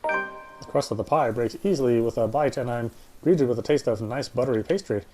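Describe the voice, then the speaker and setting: A man's voice: medium pitch, a little fast, over music. A man reading first-person visual novel narration aloud.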